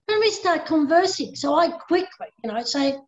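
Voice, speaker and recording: A woman's voice: high-pitched, normal speed. A woman who works as a pastoral carer and religious sister, speaking emphatically and animatedly in a panel discussion. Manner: emphatic and animated, acts out conversations. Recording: echoey dull-sounding room.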